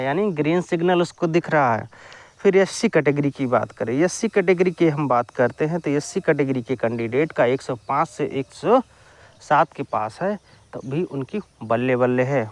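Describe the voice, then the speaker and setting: A man giving an informal explainer to viewers, repeating his phrases for emphasis. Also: mid-range voice. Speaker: exam-news YouTuber.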